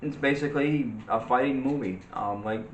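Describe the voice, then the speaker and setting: A man's voice: mid-range voice, normal speed, highs cut off. A man co-hosting a movie discussion, chatting casually and often searching for his words. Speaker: co-host of a movie discussion show. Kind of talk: casual chat. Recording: roomy echo, faint background noise.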